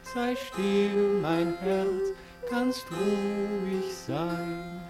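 A woman's voice: medium pitch, expressive, extremely slow, loud music behind the voice. A woman playing an eager, lovestruck, melancholy young man in a radio drama, earnest and lyrical. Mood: mournful. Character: eager, lovestruck, melancholy young male poetry-loving singer. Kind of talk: radio drama acting with sung verse.

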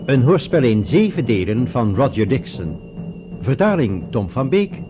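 A man reads radio serial titles over loud music. His delivery is very slow and dramatic, with sweeping pitch. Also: sing-song, highs cut off. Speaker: announcer.

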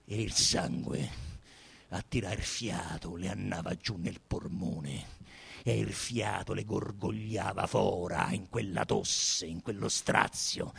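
A man with a Roman accent performs a dramatic literary reading. His voice is mid-pitched and creaky, and his delivery is unhurried. He is intensely theatrical, moving between low murmurs and loud declamation. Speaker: actor reading a literary text.

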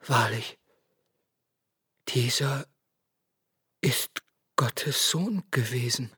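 An actor playing a devout, solemn believer in a radio drama, with hushed, reverent delivery. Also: male voice, medium pitch, animated, extremely slow, slightly rough, full character voice. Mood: awestruck. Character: devout, awestruck male believer, tender and solemn. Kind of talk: radio drama poetic recitation. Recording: music behind the voice.

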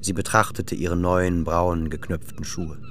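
A man's voice: low voice, fairly even intonation, moderate pace, fairly bright tone, a little hiss or hum. A man narrating a radio drama in a scripted literary style as its storyteller. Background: music.

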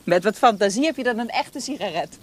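A woman's voice: mid-range voice, animated, fairly quick, close to an everyday voice. A woman acting in a Dutch radio play as the chatty, wistful wife of an interned soldier, in naturalistic dialogue. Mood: cheerful. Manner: conversational, chatty, with small interjections. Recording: faintly noisy radio play recording.

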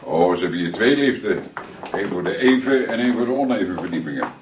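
An actor playing a calm, polite middle-aged police detective in a crime radio drama, speaking in a measured, deliberate way. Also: male voice, fairly low pitch, lo-fi sound, natural voice. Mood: friendly. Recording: clearly noisy recording with clicks.

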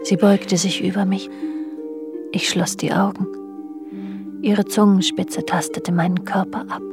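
A woman's voice: medium pitch, fairly even intonation, measured pace, close to an everyday voice. An actress playing a wounded, brooding woman who recounts a secret love affair in controlled, literary German radio drama narration. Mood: intimate. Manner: controlled, literary first-person telling. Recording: radio drama with music underneath. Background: music.

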